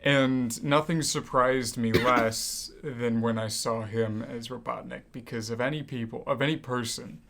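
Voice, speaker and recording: Co-host, young man, average-pitched voice, wry and mock-dramatic in relaxed conversational banter. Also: a little slow, gravelly, few pauses. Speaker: podcast co-host. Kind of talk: casual banter.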